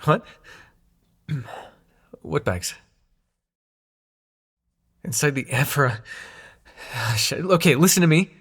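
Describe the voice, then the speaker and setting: A man's voice: a little high, animated, slow, long pauses, fairly bright tone, husky, full character voice. A man acting in an intimate audio roleplay as a young god of dreams, sarcastic and wounded but fond, talking in casual, sweary modern slang. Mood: flustered, bantering.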